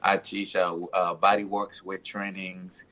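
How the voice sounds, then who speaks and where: A man's voice: low voice, level tone, a little slow, bright, forceful tone, dull audio. A man from Haiti whose first language is Haitian Creole, a guest on a casual podcast, talking in a friendly, rambling way and laughing often. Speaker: guest, fitness instructor.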